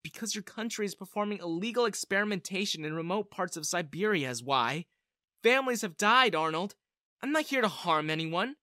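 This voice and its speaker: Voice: mid-range voice, lively intonation, brisk, pushed and bright, no room sound, studio quality, voice pushed up. A narrator voicing an earnest, determined woman spy with an urgent manner, in storybook dialogue. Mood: earnest, resolute. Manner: pleading, urgent, resolute. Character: earnest, determined female Russian spy seeking shelter. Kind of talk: audiobook-style character dialogue.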